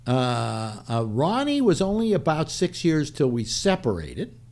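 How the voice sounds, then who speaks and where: A man's voice: a little high, huge pitch swings, darkish tone. Older man rambling on a video call. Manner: rambling, self-mocking, halting restarts. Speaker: host. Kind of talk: casual chat on a video call. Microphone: webcam on a video call.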